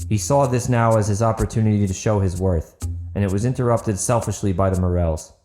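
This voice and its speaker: A man narrates a dramatic audiobook from a script. His voice sits fairly low with a bright, forceful edge, and faint music plays behind him.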